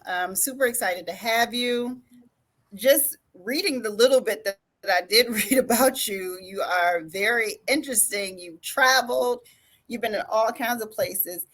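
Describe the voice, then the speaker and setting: A woman's voice: fairly high pitch, unhurried, fairly bright tone. A woman hosting a relationship podcast interview who sounds upbeat and friendly and laughs easily while asking questions. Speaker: podcast host. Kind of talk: casual interview.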